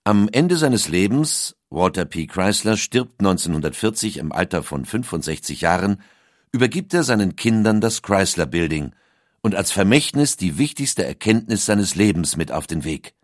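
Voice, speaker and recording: Deep-voiced male documentary narrator. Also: sing-song, normal speed, no echo, studio quality.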